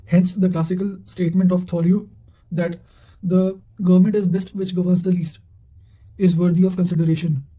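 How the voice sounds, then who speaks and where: A man's voice: high voice, little pitch movement, moderate pace, warm tone, rough recording. A man reading quotations and study notes aloud in a formal manner, occasionally stumbling over words.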